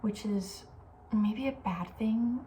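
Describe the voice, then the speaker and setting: A soft-spoken woman host chatting casually in an ASMR style, often near a whisper, informal and thinking aloud. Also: on the high side, takes it slowly, slight background noise.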